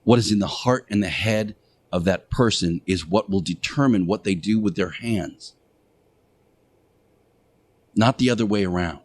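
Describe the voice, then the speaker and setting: Guy with a lowish voice doing a preachy radio monologue, deliberate, short punchy phrases w pauses. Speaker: radio show host playing Jesus in interactive radio theater.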